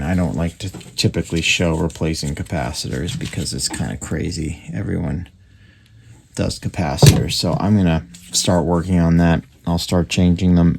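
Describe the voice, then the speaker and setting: A man with a very low, gravelly voice talks through a repair at his workbench in a loose, thinking-aloud way, full of small fillers. The recording carries a clear layer of workshop noise behind him. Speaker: radio repair host. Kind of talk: casual workbench narration.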